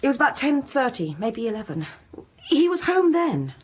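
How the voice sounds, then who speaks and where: An actress playing a tense, defensive wife, herself a lecturer, in a naturalistic radio drama. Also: female voice, sits high, big pitch swings, normal speed, slightly rough, exaggerated voice. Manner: anxious, defensive.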